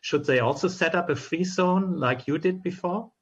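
Husky moderator on a video call. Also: male voice, sits high. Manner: brisk, polite questioning. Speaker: panel moderator. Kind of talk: moderating a panel. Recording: dull video call audio.

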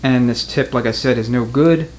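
A man's voice: mid-range voice, normal speed. A man giving a hands-on mechanic's tutorial in a matter-of-fact, conversational way. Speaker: transmission mechanic, instructor. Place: indoor garage workshop. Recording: echoey garage with clear background noise.

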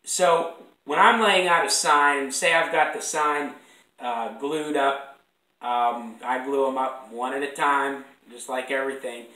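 A man in his thirties with a hoarse voice from a cold, casually explaining how he lays out a sign in his woodworking shop, rambling and correcting himself as he goes. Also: mid-range voice, steady tone, unhurried, pushed and bright. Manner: rambling, self-correcting, apologetic asides. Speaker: woodworking instructor. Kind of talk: casual workshop demonstration. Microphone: fixed camera across the workbench. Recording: echoey shop room.

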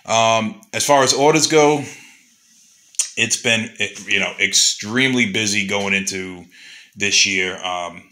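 A man's voice: fairly low pitch, slow pace, pushed and bright. A man who makes custom lures, talking loosely to his customers in a workshop with lots of fillers. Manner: loose and chatty, many fillers. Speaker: custom lure maker. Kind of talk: casual shop update to customers. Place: indoor workshop.

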